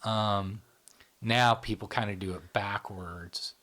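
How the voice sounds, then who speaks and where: A man's voice: lowish voice, fairly even intonation, takes it slowly, fairly bright tone. A man in his fifties who hosts a casual psychology podcast chat, explaining things in small steps and checking in with "right?". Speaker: podcast host.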